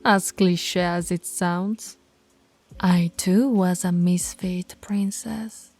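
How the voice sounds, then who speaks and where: A woman voicing a dominant, stern yet tender female alpha werewolf pack leader in an intimate audio roleplay, commanding and teasing, with a husky, breathy, creaky voice that often drops to murmurs. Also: on the low side, steady tone, slow pace, a little mellow. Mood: stern, reflective.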